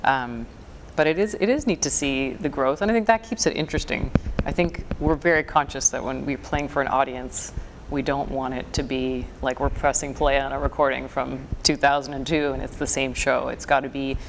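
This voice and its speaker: A woman in her forties from the Toronto area, a folk singer with a low voice, chatting in an interview with small laughs. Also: steady tone, fairly quick, noisy background. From Toronto area, Canada. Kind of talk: casual interview chat.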